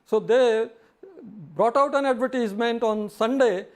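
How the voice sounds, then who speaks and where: Hesitant high-voiced male guest. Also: smooth. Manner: hesitant, many pauses. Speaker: panel guest. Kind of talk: debate answer.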